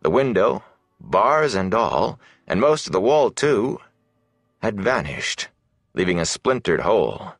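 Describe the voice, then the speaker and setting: A man's voice: lowish voice, sing-song, slow pace, no room sound, clean studio sound, soft background music. A man reading scripted fantasy narration dramatically as an audiobook narrator.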